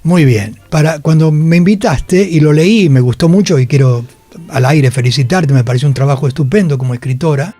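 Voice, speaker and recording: An older man from Buenos Aires, speaking with the local accent in a pitch a little above average. He reminisces conversationally, often checking that the listener follows. The sound is dry, with music playing underneath. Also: a little fast, mellow, no echo. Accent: Buenos Aires Spanish. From Buenos Aires, Argentina. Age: 70s. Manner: conversational, anecdotal, seeks confirmation from listener. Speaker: actor and producer, interview guest. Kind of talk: casual radio interview, reminiscing. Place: radio studio.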